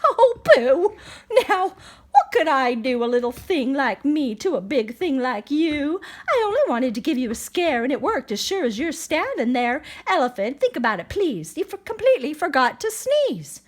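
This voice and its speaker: A woman's voice: high voice, big pitch swings, moderate pace. A woman voicing a cheeky little gray male mouse in a storybook read-aloud, playful and teasing.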